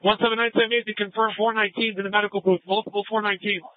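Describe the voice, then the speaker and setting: A male officer speaks quickly in a high voice, making urgent emergency calls. It all arrives over a thin, tinny police radio. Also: level tone, no room sound. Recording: tinny narrow-band scanner radio.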